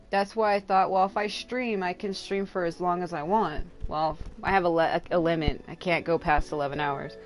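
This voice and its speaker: A woman chatting casually as a livestreamer, rambling and venting with casual swearing. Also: on the low side. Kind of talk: casual gaming livestream chat.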